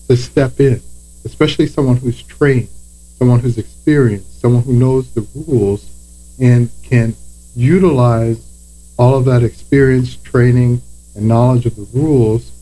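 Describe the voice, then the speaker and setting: Man, attorney on a podcast, slow measured delivery, mellow low tone, faint hum behind. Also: poor audio. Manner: deliberate, measured, list-like phrasing. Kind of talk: podcast talk.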